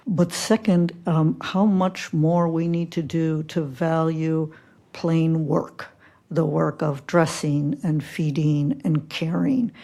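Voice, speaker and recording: A woman physician speaks slowly in a low, mellow voice. She is soft-spoken and pauses often, filling the gaps with ums and uhs. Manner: slow, soft-spoken, halting with many fillers. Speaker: physician panelist. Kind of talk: roundtable reflections. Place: conference room.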